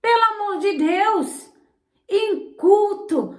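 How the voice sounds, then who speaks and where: A woman's voice: very high voice, slow. A woman who speaks Portuguese as a learned language, hosting her channel with indignant, sarcastic political commentary. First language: not Portuguese. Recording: roomy echo.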